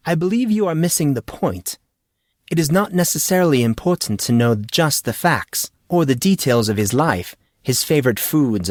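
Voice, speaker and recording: A man's voice: medium pitch, no room sound, clean studio sound, pitched above the performer's own voice, lightly acted. A man voicing a formal, genial history teacher who talks in a precise, scholarly and wordy way.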